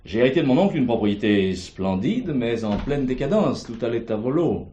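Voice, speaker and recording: Actor as an animated, aggrieved country heir. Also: male voice, medium pitch, moderate pace, highs cut off, a little hiss or hum, natural voice. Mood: indignant. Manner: formal, emphatic, insistent. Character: aggrieved, formal, proud male heir of a country estate. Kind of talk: French stage or TV play acting.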